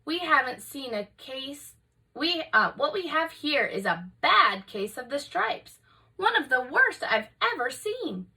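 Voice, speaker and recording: Woman voicing a kindly, high-pitched old storybook woman. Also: very animated, a little slow, distant mic, full character voice, poor audio. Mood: bright, helpful. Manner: bright, kindly, reassuring. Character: plump, sweet, kindly, cheerful old woman. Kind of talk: children's storybook character voice.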